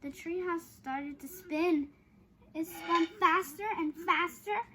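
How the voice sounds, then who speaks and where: A woman reading a children's chapter book aloud, haltingly, stumbling over long words. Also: extremely high pitch, steady tone, a bit bright, rough recording. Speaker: storybook reader.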